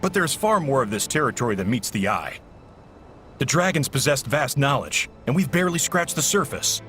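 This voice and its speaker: Smug, calculating game villain. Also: male voice, medium pitch, big pitch swings, moderate pace, fairly bright tone, soft background music. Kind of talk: video game voice acting.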